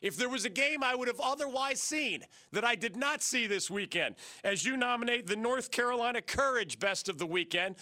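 American man, sports radio host, high and bright, slightly gravelly, brisk rundown of scores, dry studio sound. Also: no echo. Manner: brisk and enthusiastic, rattling off scores and names. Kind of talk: sports talk radio monologue. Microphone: studio microphone.

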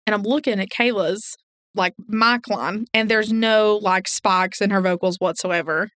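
Woman with mid-pitched voice, casual chat. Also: steady tone, unhurried, fairly bright tone, no echo, clean studio sound. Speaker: podcast co-host. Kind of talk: casual true crime podcast chat.

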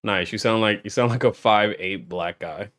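A man's voice: fairly low pitch, normal speed, a bit bright. A man hosting a podcast, chatting casually with a guest and laughing often. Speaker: podcast host.

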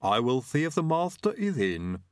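A man's voice: medium pitch, big pitch swings, moderate pace, clean tone, dry recording, studio quality, close to an everyday voice. A man voicing a deferential, shuffling butler with a formal, muttering manner in an audiobook. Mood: deferential, guarded. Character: Igor, deferential, shuffling male butler servant.

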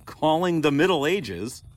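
A man's voice: a little high, sing-song, slow, slight background noise. An actor playing a needy, indignant century-old male ghost in a comedic audio play, theatrical and whiny, easily affronted. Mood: mocking.

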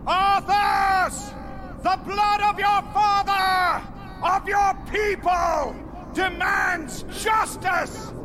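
An actor playing a furious warrior lord in a fantasy game cinematic, bellowing out a drawn-out challenge. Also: male voice, very high voice, steady tone, crowd noise, exaggerated voice. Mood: righteous fury. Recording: game audio played back, loud battle noise.

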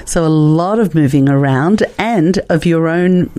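A woman hosting a conversational interview, talking in an unhurried, complimentary way. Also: deep voice, dark tone, no room sound. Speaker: host.

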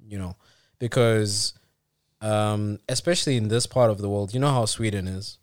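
Low-voiced man from Africa, dry close mic. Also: fairly even intonation, normal speed, dry recording. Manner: explains at length with frequent restarts and "you know". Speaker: podcast co-host. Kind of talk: casual podcast discussion.

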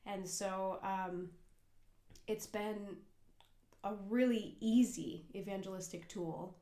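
A woman's voice: mid-range voice, slow, warm tone. A young American woman co-hosting a casual podcast, talking earnestly and at length with plenty of fillers and restarts. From United States. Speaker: podcast co-host and cafe worker. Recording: echoey room.

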